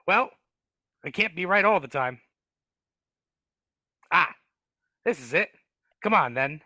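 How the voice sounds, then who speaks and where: A man's voice: a little high, lively intonation, slow, bright, forceful tone, no echo, clean studio sound, full character voice. A man voicing a sly, grumbling male dwarf in a live video-call table read, hesitant and wheedling, stammering often. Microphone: video call mic.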